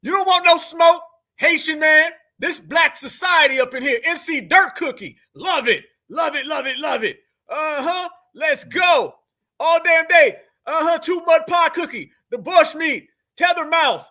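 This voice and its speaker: A man hosting a live stream, loud and hyped, calling the audience family. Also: extremely high pitch, expressive, a little slow. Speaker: livestream host. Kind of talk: animated live-stream banter.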